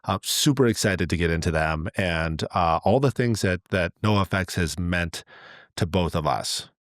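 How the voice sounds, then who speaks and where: A middle-aged man with a very low voice talks as a podcast co-host. He is enthusiastic and loose, circling back over his words. The sound is close and dry. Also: moderate pace, a bit bright, no echo. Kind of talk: casual conversational podcast talk.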